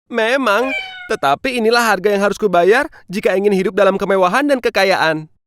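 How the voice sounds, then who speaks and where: A woman's voice: mid-range voice, brisk, a little hiss or hum, natural voice. A woman voicing a snobbish city mouse with a disdainful, boastful manner in a children's cartoon. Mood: resigned. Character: snobbish, haughty city mouse fond of luxury.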